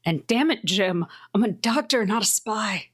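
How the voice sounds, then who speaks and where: Lively woman playing a tabletop game. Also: medium pitch, a little slow, breathy edge. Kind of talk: casual game chat.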